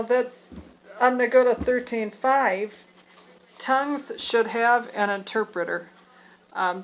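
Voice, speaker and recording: A woman's voice: mid-range voice, poor audio. A woman explaining scripture passages in an unscripted talk, earnest and hesitant, with many fillers and restarts. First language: English. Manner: earnest, opinionated. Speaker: speaker explaining Bible passages.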